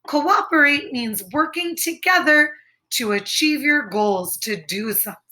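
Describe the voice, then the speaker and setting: Female read-aloud teacher for kids: unhurried, explanatory, carefully enunciated, with very animated intonation. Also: sits high. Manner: clearly enunciated, explanatory, simple phrasing. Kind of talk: lesson and story reading for children.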